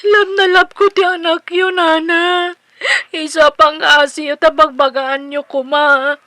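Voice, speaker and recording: A woman's voice: sits high, flat delivery, measured pace, bright, forceful tone, dry recording. An actress playing a coy, lovesick and respectful young girlfriend in an Ilocano radio comedy drama, with an Ilocano accent. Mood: tearful pleading.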